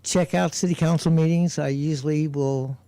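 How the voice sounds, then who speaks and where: A man who performs on the street, chatting on community radio in a loud voice, rambling with lots of fillers and restarts. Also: on the high side, steady tone, moderate pace. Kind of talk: casual radio chat.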